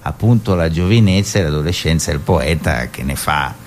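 A man's voice: extremely low pitch, lively intonation, moderate pace. A male actor and comedian, a radio guest, chatting with dry jokes and reading poetry. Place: radio studio.

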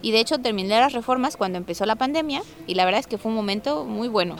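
A woman's voice: on the high side, animated, fast, faint music behind. A woman giving a conversational, first-hand account, telling her story as an artist resident. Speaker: artist resident recounting a squat and eviction. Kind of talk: personal testimony, conversational storytelling.

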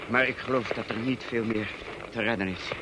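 An actor playing a flustered, deferential servant of a great house in a radio drama, hesitant and stammering. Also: male voice, lowish voice, steady tone, moderate pace, background noise, natural voice. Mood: flustered, grim.

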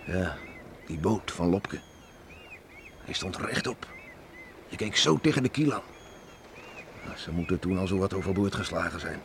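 Radio play: older farmer father, low voice, reflective, rustic, concerned; noisy vintage recording. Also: unhurried, long pauses. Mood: musing, vivid. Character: ageing island farmer father, plain-spoken, reflective, concerned. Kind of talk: Dutch radio drama acting.

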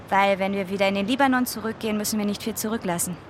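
A woman's voice: mid-range voice, moderate pace, a little hiss or hum, lightly acted. An actress playing a candid, proud teenage girl in a radio drama, with a direct, youthful way of talking. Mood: candid, guarded.

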